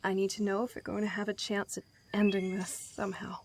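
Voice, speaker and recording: A woman's voice: medium pitch, little pitch movement, fast, natural voice. Actress as shaken, questioning young female survivor, mid-range voice, earnest drama delivery, faint noise behind. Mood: shaken, resolute. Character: anxious, questioning young woman survivor, shaken but resolute. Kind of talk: dramatic audio drama dialogue.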